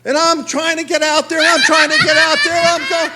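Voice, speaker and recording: A middle-aged man, telling a stand-up story on a theater stage and acting out the dialogue in quick back-and-forth. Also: very high voice. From Queens, New York. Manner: animated, acts out dialogue in quick exchanges. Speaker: stand-up comedian.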